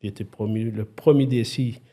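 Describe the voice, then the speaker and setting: Husky Québécois man, warm voice. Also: lowish voice, fairly quick. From Quebec. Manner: lively, animated storyteller, frequent restarts. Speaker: podcast guest, IT executive. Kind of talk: casual conversation.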